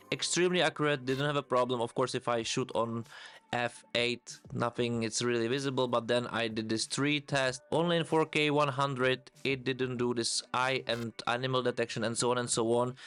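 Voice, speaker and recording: A man's voice: mid-range voice, fairly bright tone, clean tone. A man, a photographer, enthusiastically reviewing a camera in casual, loosely phrased English. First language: Czech.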